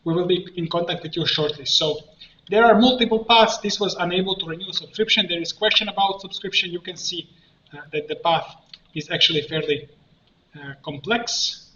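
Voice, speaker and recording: Bright-voiced man in a roomy, muffled recording. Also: sits high. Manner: halting, step-by-step explaining. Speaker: software tutorial instructor. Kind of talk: unscripted screen-share tutorial narration. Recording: muffled roomy mic with faint noise.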